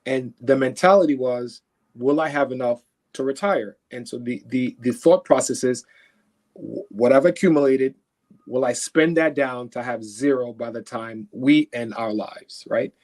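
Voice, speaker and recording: Male expert guest from Haiti, mid-pitched, conversational and emphatic, explains by example. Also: a little slow, darkish tone. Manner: explains through imagined first-person quotes; emphatic, often checking with "right?". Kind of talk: conversational interview answers.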